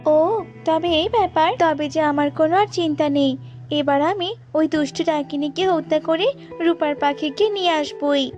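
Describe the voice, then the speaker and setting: A woman's voice: high voice, unhurried, a little mellow, lo-fi sound, soft background music. A woman playing a brave, confident young princess devoted to her father in a children's cartoon fairy tale, speaking earnestly and resolutely. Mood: determined.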